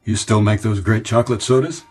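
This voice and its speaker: An American actor playing a middle-aged, weary and wistful executive in a radio drama, talking in a hesitant, musing way. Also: male voice, fairly low pitch, steady tone, a little fast, slightly rough, faint music behind. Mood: nostalgic wonder. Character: weary, wistful ad executive fleeing city pressures.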